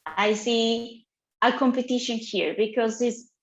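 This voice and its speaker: A woman from Colombia with a Colombian Spanish accent, a native Spanish speaker, presenting earnestly on a panel in halting English with restarts over a video call. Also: on the high side, echoey room, muffled recording. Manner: earnest, halting second-language phrasing with restarts.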